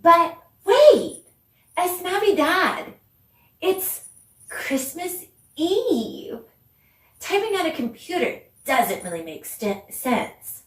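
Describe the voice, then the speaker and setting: Slow-paced woman teacher in an echoey room. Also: very high voice, big pitch swings, a bit bright. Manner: encouraging. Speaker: dance teacher. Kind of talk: children's online arts lesson, addressing viewers. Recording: echoey room, distant mic, faint noise.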